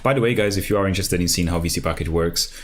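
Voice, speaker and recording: Brazilian guy streaming code, deep voice, lots of ums and restarts, keys clacking behind, bit of hiss. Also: rapid-fire. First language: Portuguese. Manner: casual, rambling with many fillers and restarts. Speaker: programming livestream host. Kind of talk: live coding tutorial, thinking aloud. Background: keyboard typing.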